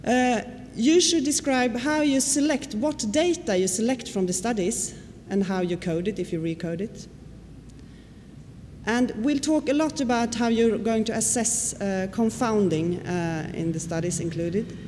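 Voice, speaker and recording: A woman whose first language is Swedish, giving a conference lecture and often pausing on fillers. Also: fairly high pitch, expressive, a little mellow. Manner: explanatory, with frequent fillers and questions to the audience. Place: lecture hall. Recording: reverberant hall with faint noise.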